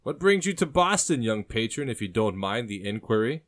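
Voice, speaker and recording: A man's voice: medium pitch, very animated, moderate pace, pitched above the performer's own voice, natural voice. A man voicing a shifty, sly barkeep in an audiobook story, eager and wheedling as he angles for coin. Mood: curious, apologetic.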